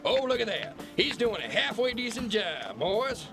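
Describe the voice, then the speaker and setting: A man's voice: high-pitched, big pitch swings, normal speed, vocal fry, over music, exaggerated voice. A man playing a bombastic, corrupt kingpin boss in an audio drama, blustering, mocking and condescending. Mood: condescending, gloating. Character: bullying, corrupt alpha-male kingpin boss, bombastic and scornful. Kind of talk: theatrical radio-play acting.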